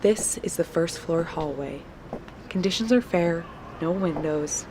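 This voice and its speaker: An actress playing a diligent, polite young researcher, speaking matter-of-factly into a field recorder in an audio drama. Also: female voice, fairly low pitch, a little slow, lightly acted. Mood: businesslike. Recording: noisy handheld field tape with clicks.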